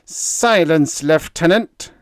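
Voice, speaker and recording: A man's voice: a little high, a little slow, no echo, studio quality, voice pushed up. A man voicing a pompous, spiteful official who snarls and raves. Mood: angry. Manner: snarling, venomous. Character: spiteful, pompous male efficiency expert.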